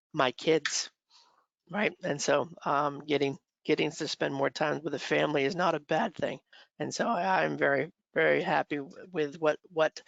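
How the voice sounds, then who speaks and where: A man hosting an online session in a casual way, haltingly restating his phrases. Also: a little high, vocal fry, a bit bright, no echo. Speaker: host, webinar moderator. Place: online video meeting. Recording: dull, dry online-call audio.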